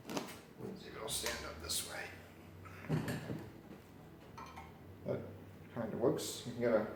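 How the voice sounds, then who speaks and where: A man giving an unscripted hands-on walkthrough, musing aloud in a tentative, halting way. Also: mid-range voice. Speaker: radio repair hobbyist presenting. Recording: roomy echo, background noise, varying distance.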